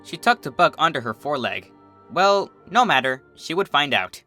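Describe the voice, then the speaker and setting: A man narrates a story with a lively, swooping delivery and a bright, forceful edge. His reading is expressive and scripted, with soft music underneath. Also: a little high, normal speed. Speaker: fan fiction narrator.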